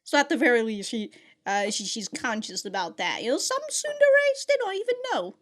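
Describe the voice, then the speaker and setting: A woman giving casual, animated reaction commentary as a video host, chatty and slangy, swearing freely. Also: a little high, normal speed. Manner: chatty, laughs often while talking.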